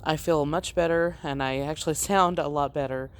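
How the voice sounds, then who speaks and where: A woman hosting a casual outdoor garden walk-through, slightly congested while getting over an illness, talking in an unhurried, plain way with frequent ums. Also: low-pitched, steady tone, smooth.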